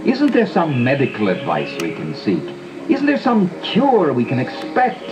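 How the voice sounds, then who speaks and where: Old cassette dub, music behind: earnest gothic gentleman friend, mid-pitched, theatrical, animated concern. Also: measured pace, lo-fi sound. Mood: urgent, puzzled. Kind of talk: gothic audio drama acting.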